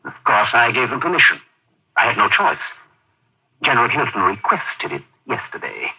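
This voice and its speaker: A man playing a nervous, fearful surgeon with an anxious, halting, melodramatic delivery in an old-time radio drama. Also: mid-range voice, sing-song, husky. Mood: uneasy. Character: nervous, fearful male surgeon, secretly an enemy agent. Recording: muffled old radio recording.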